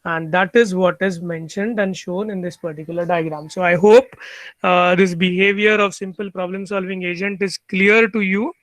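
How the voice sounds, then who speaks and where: A middle-aged man with an Indian English accent teaches an online class through his computer mic on a video call. His voice sits high for a man and sounds close and dry, with no room echo. He explains patiently, repeating and restarting phrases as he goes. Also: steady tone, moderate pace, a bit bright. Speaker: university lecturer. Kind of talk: online lecture.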